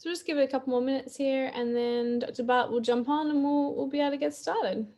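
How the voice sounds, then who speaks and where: An Australian woman with a slightly raised pitch and a croaky voice hosts a live online show. She talks in a loose, chatty way, with plenty of fillers and laughter between thoughts, on a computer mic over a video call. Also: steady tone, brisk, dark tone. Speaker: podcast host. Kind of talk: casual live-stream chat.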